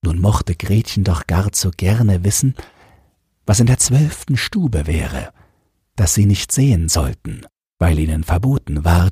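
A man's voice: a little mellow, no echo, clean studio sound. A man narrating a fairy tale from a script, as the storyteller of an audio drama. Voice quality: deep, very husky.

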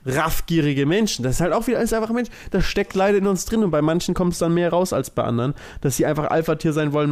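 A man's voice: on the high side, darkish tone. A man co-hosting a podcast in casual, conversational chat. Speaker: podcast co-host.

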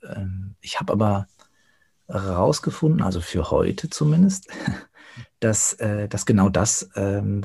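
A man speaking reflectively as an interview guest, thoughtful and often pausing to search for words. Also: lowish voice, vocal fry, warm tone, husky. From Germany.

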